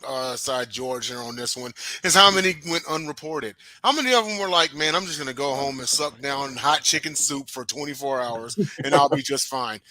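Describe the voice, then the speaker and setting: A man's voice: a little high, sharp tone, dry recording. A man from Houston on a sports talk panel, chatty and joking about himself, with plenty of fillers. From Houston, Texas. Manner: chatty, self-deprecating humor, lots of fillers.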